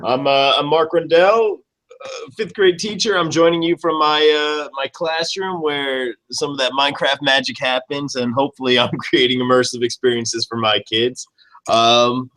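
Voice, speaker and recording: A man's voice: mid-range voice, a bit bright, low-quality recording. Casual male teacher on a video call. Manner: casual, eager. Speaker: fifth grade teacher, co-host. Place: classroom.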